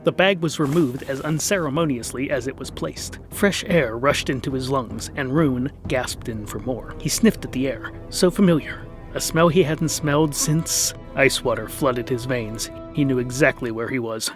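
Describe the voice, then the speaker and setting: A man's voice: medium pitch, normal speed, faint music behind. A man narrating a scripted comic fantasy story in a dramatic storytelling manner with dry wit.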